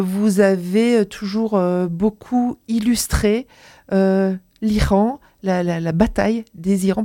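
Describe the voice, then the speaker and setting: A woman interviewer in a studio, talking briskly with many small hesitations. Her voice is mellow and the sound is dry and close. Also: medium pitch, no echo. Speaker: radio interviewer.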